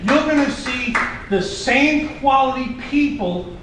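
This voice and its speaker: Brief: middle-aged New man, fired up and halting, high voice, far off in an echoey hall. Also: animated, fairly bright tone, a little hiss or hum. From United States. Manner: rambling, repeats himself, passionate and halting. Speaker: audience member asking a question. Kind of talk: emotional question from the floor at a symposium. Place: large conference hall.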